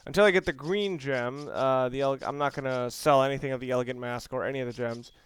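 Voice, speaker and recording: A man giving casual, explanatory let's play commentary, halting and rephrasing as he plays. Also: mid-range voice, smooth. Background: video game audio and music.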